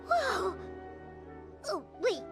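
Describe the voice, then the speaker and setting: A woman voicing a small, childlike, chirpy game companion with a squeaky voice. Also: very animated, extremely slow, gravelly, over music, exaggerated voice. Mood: excited, curious. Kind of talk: anime game dialogue.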